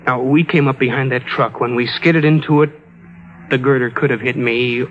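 A man's voice: medium pitch, fairly even intonation, moderate pace, faint music behind, lightly acted. An actor playing a bereaved, desperate man, earnest and insistent, in mid-century radio drama style. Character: bereaved, desperate man insisting his love still lives. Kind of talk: 1940s-50s radio drama acting. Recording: dull old radio recording.

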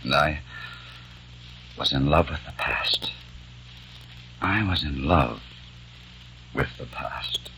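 A man's voice: a bass voice, takes it slowly, pauses often, bright, forceful tone, a little hiss or hum, full character voice, poor audio. A man in a 1940s radio drama playing a modern man stranded in eighteenth-century London, given to impassioned, bitter outbursts. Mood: wistful.

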